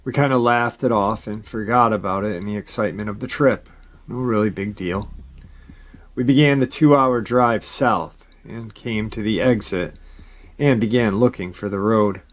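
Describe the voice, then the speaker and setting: A man with a somewhat low voice reads viewer-submitted encounter stories for his paranormal channel. He keeps a steady storytelling pace and drops in brief casual asides between the tales. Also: normal speed.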